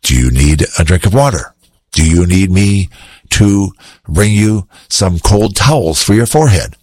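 A man voicing a kind, gentle young slave girl in a Bible story, speaking earnestly. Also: deep voice, animated, measured pace, dry recording. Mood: concerned.

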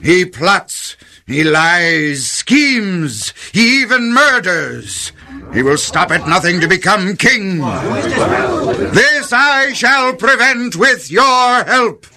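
A man's voice: sits high, very animated, slow, vocal fry, pushed and bright, exaggerated voice. A man playing a jealous, wrathful aging king in a biblical audio drama, declaiming imperiously and theatrically. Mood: furious, commanding.

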